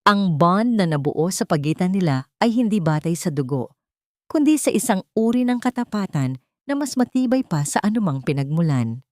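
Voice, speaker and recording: A woman with a Filipino accent narrating a scripted dramatic story in a measured, sentimental, literary way. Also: fairly low pitch, sing-song, a little mellow, no room sound, studio quality.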